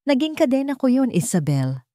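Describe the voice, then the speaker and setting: A woman voicing a guilt-ridden man confessing a dark family secret in an audio drama, with a trembling voice. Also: sits high, big pitch swings, normal speed, dry recording, clean studio sound, pitched above the performer's own voice. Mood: anguished confession. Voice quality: trembling, breaking voice.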